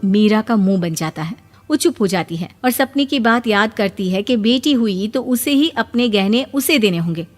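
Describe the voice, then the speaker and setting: A woman's voice: mid-range voice, lively intonation, moderate pace, hardly any pauses. A woman narrating a scripted children's story. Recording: long reverb, music bed.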